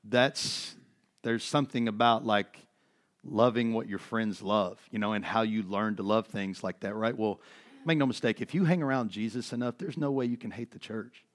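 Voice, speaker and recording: Earnest pastor with a worn voice. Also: male voice, lowish voice, normal speed. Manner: conversational, earnest, lots of fillers and restarts. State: voice worn from overuse. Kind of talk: sermon.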